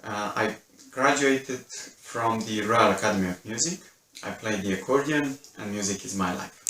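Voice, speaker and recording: A man from Serbia, an accordionist, introducing himself in a measured way. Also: on the low side. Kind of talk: self-introduction. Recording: roomy, distant mic.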